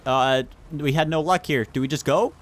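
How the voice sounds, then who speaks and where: A man's voice: fairly high pitch, moderate pace, slight background noise. A man in casual chat with fellow players during a multiplayer game. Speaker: gamer in group roleplay.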